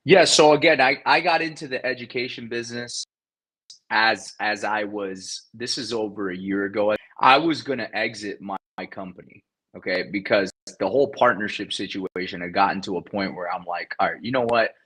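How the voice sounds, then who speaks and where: Bright, husky-voiced man, energetic and talkative. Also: fairly low pitch, rough recording. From South Florida. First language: Spanish. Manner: energetic, repeats words, fast restarts. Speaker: guest, real estate investor. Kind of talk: casual interview talk.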